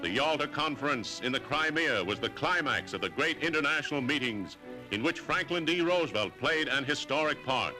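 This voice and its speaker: American guy doing formal 1940s newsreel narration, punchy bright voice, old soundtrack with music under it. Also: a little high, animated, moderate pace, rough recording. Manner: formal, declamatory. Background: orchestral newsreel music.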